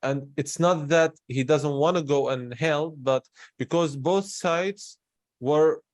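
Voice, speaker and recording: A man's voice: on the high side, slow pace, no echo, clean studio sound. A young man from Syria, talking candidly about himself in a personal conversation. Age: young adult. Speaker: interviewer in a conversation.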